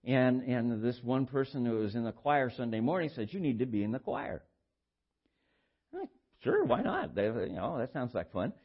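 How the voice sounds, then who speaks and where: An older man with a baritone voice preaching informally, halting and repeating words as he shares personal stories in a conversational way. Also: very animated, husky, lo-fi sound. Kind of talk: informal sermon with personal anecdotes.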